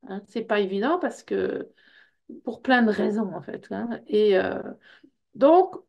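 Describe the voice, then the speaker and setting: A woman's voice: mid-range voice, expressive, takes it slowly, creaky, highs cut off. A middle-aged woman from Belgium with a Belgian French accent, presenting an information evening for a personal development workshop in a conversational way, full of fillers and the tag word "hein". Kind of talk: informal info session presentation.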